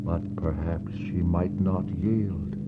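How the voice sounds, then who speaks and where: An actor playing a solemn, intense explorer who narrates to the dead in poetic, incantatory lines, in a 1960s radio drama. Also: male voice, sits low, steady tone, slow pace. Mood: brooding, intense. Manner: incantatory, rhetorical questioning. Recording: muffled, faintly noisy 1960s radio recording.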